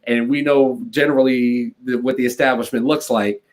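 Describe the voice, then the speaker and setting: A man in his late twenties, a guest political consultant, talking fast and casually with lots of "like". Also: medium pitch, steady tone, a little mellow. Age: 20s. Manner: fast, conversational, peppered with "like". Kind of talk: casual interview chat.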